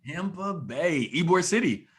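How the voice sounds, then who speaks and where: A man's voice: high voice, animated, measured pace. A man from Florida hosting a podcast, chatting casually, laughing often and turning to address listeners. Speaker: podcast host. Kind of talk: casual interview chat.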